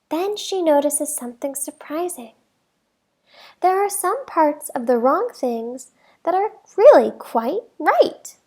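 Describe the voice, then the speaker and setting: A woman with a high voice reads a children's storybook aloud. She takes her time, phrasing each line deliberately and leaning on key words for young listeners. The delivery is expressive, like a teacher at story time. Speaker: teacher reading a picture book aloud.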